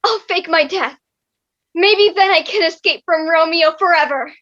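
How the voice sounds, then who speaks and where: A woman's voice: very high voice, moderate pace, fairly bright tone, muffled recording. A woman playing a bitter, sarcastic young wife in a comic staged reading, with melodramatic exasperation. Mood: scheming.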